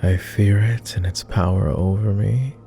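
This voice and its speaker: Man acting a low, slow, weary vampire. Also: fairly even intonation, creaky, warm tone, soft background music. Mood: weary, bitter. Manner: formal, measured, hesitant with pauses. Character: weary, reserved, self-loathing male vampire, immortal, courteous. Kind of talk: intimate ASMR roleplay acting.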